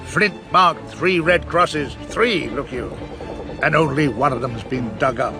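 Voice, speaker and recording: An actor playing a seafaring rogue in a pirate drama, speaking emphatically in salty old-sea idiom. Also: male voice, a little high, takes it slowly, music in the background. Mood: insistent.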